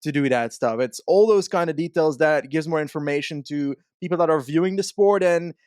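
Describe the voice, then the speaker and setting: A man's voice: on the high side, a little fast, darkish tone, smooth, no room sound, studio quality. A man co-hosting a podcast, explaining his points in a casual, analytical way with examples. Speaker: podcast co-host.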